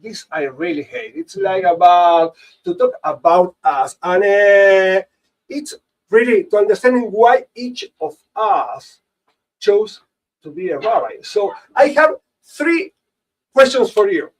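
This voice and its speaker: Host: halting man, swooping high voice, distant mic. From Latin America. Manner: halting, repeats words, animated pitch. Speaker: host, rabbi. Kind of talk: hosting a panel conversation.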